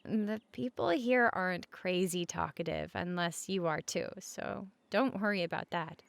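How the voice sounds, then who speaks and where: Friendly, reassuring female roommate, played by a woman for comfort audio: casual, gentle, frequent vocal fry, very dry close sound. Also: lowish voice, a little slow, no echo. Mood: reassuring, caring. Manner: casual, gentle, reassuring, speaking at regular volume. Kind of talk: conversational comfort audio roleplay.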